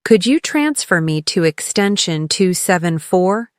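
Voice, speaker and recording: A woman leading a scripted English lesson as a teacher, encouraging the learner and clearly enunciating model phrases for repetition. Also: fairly low pitch, big pitch swings, a little slow, no room sound, clean studio sound. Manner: encouraging coaching.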